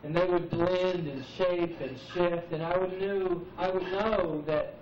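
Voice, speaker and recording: A man in his forties performs a reflective spoken-word piece at a live open mic, letting pauses hang. He is heard from a distance in a roomy space, on a muffled old tape. His delivery is unhurried and thoughtful. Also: sits high, fairly even intonation, darkish tone. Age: 40s. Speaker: poet speaking at an open mic. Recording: muffled noisy VHS recording, echoey, distant.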